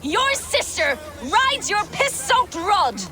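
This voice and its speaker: An actress playing a defiant, blunt, foul-mouthed Viking warrior in a video game. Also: female voice, mid-range voice, moderate pace, noisy background, lightly acted. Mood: furious. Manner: fierce, blunt, hearty. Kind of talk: video game character acting.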